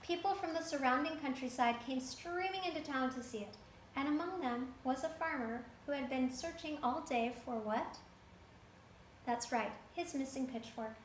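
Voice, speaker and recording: A woman reading a folktale aloud to a child, lively and stopping to ask the listener questions. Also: high voice, normal speed, reverberant, a little hiss or hum, rough recording. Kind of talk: reading a picture book to a child.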